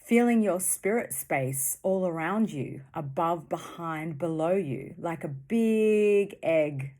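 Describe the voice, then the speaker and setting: A woman guides a meditation in a fairly low voice. Her delivery is very slow and gentle, with short phrases set apart by long, soothing pauses. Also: lively intonation.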